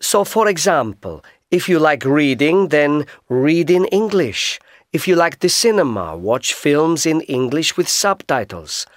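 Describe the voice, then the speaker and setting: Slow, deliberate man, dry studio. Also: a little high, very animated, dry recording. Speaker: learner giving a tip. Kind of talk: scripted textbook audio.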